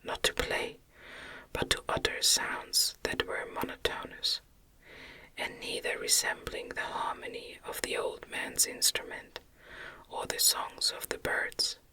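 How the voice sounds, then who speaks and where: A woman narrates classic gothic fiction in a breathy ASMR whisper, with no voiced tone at all. Her reading is gentle, measured and very slow. The whisper is extremely bright and crisp, spoken close to the mic. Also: poor audio. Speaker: audiobook narrator.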